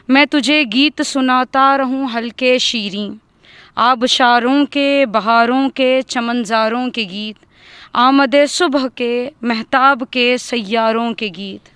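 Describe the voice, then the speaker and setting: A woman giving a dramatic recitation of a poem, deliberate and leaning on repeated phrases for emphasis. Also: high-pitched, a bit bright, clear, resonant tone, no echo. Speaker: poetry reciter.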